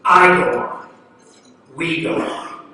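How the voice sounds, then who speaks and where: A man reciting spoken-word poetry in a declamatory, incantatory manner, leaning on insistent repetition and emphatic pauses. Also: a little high, bright, forceful tone. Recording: very echoey hall, distant mic, faint noise.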